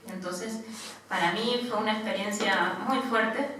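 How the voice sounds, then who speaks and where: An Argentine woman in her thirties, a missionary nun, gives an informal personal testimony in a halting manner, often adding small tag questions. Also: mid-range voice, a bit bright. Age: 30s. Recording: echoey room, distant mic, faint noise.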